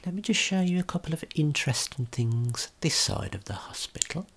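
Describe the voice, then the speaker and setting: A man giving a gentle, unhurried ASMR tour of a video game in a breathy, whispered, soft-spoken voice. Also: fairly high pitch, sing-song. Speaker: ASMR creator and tour guide.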